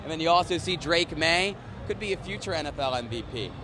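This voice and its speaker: A man's voice: fairly high pitch, lively intonation, fast, background noise. A man working as a sports anchor and reporter, with a playful, emphatic broadcast delivery. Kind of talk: sports broadcast narration and desk banter.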